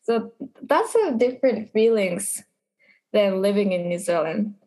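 A woman's voice: medium pitch, expressive, a little slow, warm tone, poor audio. A young adult woman from Japan, an international university student, answering interview questions in a reflective, searching way.

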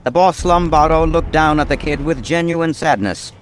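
An actor playing a dramatic narrator of a detective radio mystery, reading the scripted prose solemnly. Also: male voice, a little high, moderate pace, a little hiss or hum, natural voice, poor audio. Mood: grave.

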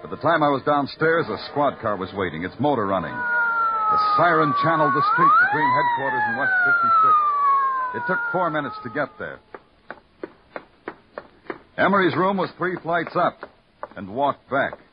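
A man's voice: fairly high pitch, wildly swooping pitch, moderate pace, long pauses, heavy background noise. An actor playing a hardboiled male police lieutenant who narrates his case in a terse, wry, world-weary way. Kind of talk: hardboiled first-person radio narration. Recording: muffled old radio recording with music.

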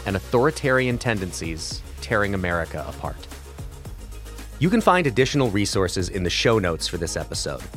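A man reading a scripted podcast intro in a smooth, measured announcer style. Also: fairly low pitch, fairly bright tone. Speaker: podcast host. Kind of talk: scripted narration.

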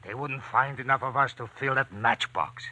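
A man's voice: mid-range voice, normal speed, heavy background noise, natural voice. An actor playing a seasoned, amused criminal bomb-maker in an old radio drama, with teasing, colloquial tough-guy talk. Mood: matter-of-fact.

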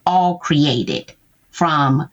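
Woman guest, lower voice, lo-fi call sound, explanatory, chatty check-ins. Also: animated, drawn-out words. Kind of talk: conversational interview.

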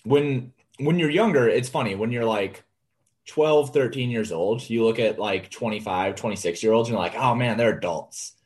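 A man's voice: mid-range voice, normal speed, a little mellow. A man in his late twenties co-hosting a casual livestream chat, laid-back and joking, with lots of fillers. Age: 20s. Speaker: co-host.

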